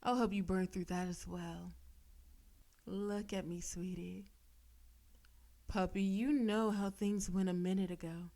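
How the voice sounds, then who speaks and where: Slow, possessive demonic girlfriend, acted by a woman. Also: mid-range voice, fairly even intonation, dark tone, natural voice. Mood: controlling, menacing. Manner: slow, coaxing, teasing, with pet names and veiled threats. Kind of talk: intimate audio roleplay, addressing the listener.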